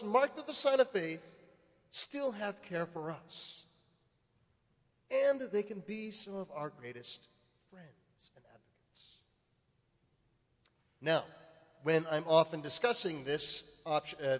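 A male priest preaching a sermon, building to emphatic, rising points. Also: on the high side. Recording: reverberant church hall.

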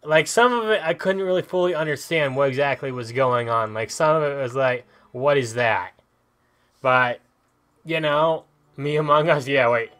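A man's voice: medium pitch, normal speed, a bit bright, clear, resonant tone. A man hosting a casual let's play, joking and rambling as he thinks aloud. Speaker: host of gaming videos. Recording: video game music behind the voice. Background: video game music.

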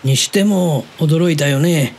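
A man playing an easygoing, chatty middle-aged uncle who is soft on women, in a Japanese audio drama. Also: fairly high pitch, slow. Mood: encouraging, easygoing. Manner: casual, blunt, chatty.

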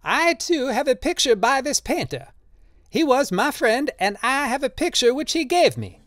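A man's voice: high voice, sing-song, normal speed, dry recording, clean studio sound, voice pushed up. A man voicing a proud, impoverished old man who speaks in impassioned, formal protest. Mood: frantic, shouting.

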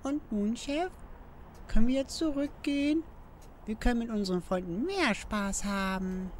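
A woman's voice: a little high, lively intonation, normal speed, a little hiss or hum, close to an everyday voice. A woman playing an eager sidekick to a gang boss in an audio drama.

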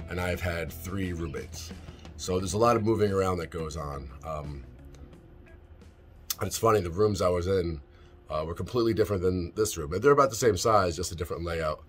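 A deep-voiced man in his thirties rambles casually through a tour of his small ship cabin, dropping plenty of ums. He talks into a phone held at arm's length. Music plays clearly under his voice. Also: a little fast. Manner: relaxed, rambling, lots of ums. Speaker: vlogger. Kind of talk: casual vlog room tour. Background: background music.

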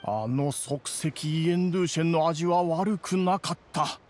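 A man playing a dignified, grumbling immortal in human guise in an anime game, with formal, archaic and measured speech. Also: a little high, darkish tone, a little hiss or hum, lightly acted. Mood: recounting.

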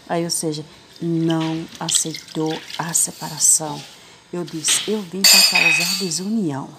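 Joking woman, noisy kitchen. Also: fairly low pitch. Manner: jokey, slangy and teasing, playful asides. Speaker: home cleaning vlogger. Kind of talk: casual chatty tutorial. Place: home kitchen, voice heard while cleaning a stove. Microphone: phone camera held close over the stovetop. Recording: loud background noise.

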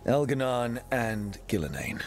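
An actor playing a direct, steady adventurer who leads the group in a video game cutscene. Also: male voice, mid-range voice, a little slow, faint music behind.

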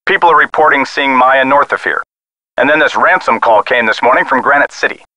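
An actor voicing a weary, skeptical American police sergeant in a video game, brusque, folksy and condescending, as if on a telephone call. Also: male voice, mid-range voice, fairly quick, bright, forceful tone, no room sound. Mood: dismissive. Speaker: police missing-persons officer.

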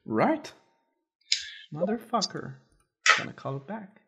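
Fairly high-voiced man hosting prank calls. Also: slow pace, vocal fry, breathy edge. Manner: bossy and pushy on prank calls, relaxed between them. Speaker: prank call show host. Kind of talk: casual podcast chat.

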